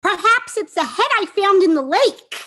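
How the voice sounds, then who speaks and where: A woman playing an eager little Halloween creature in a script read, with exaggerated, drawn-out delivery. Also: extremely high pitch, animated, fairly bright tone, slight background noise. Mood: curious.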